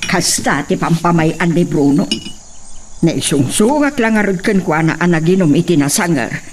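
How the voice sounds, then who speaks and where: A woman's voice: lowish voice, animated, normal speed, slight background noise, lightly acted. Woman acting fretful household woman, slightly distant. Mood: matter-of-fact. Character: fretful, watchful household woman, afraid of night intruders. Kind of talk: radio drama acting.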